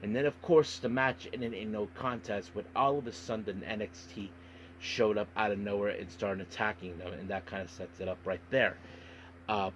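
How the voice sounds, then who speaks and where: A low-voiced man hosts a casual solo podcast, reading through news and reviews. His delivery is halting, often tripping on words and names. Clear background noise runs through the recording. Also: rough recording. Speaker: wrestling podcast host.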